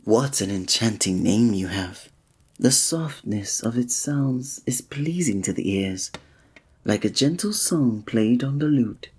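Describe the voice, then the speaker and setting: An actor playing a flirtatious, courtly male village innkeeper in an ASMR roleplay, speaking in a formal, breathy way. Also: medium pitch, expressive, slow, a little mellow.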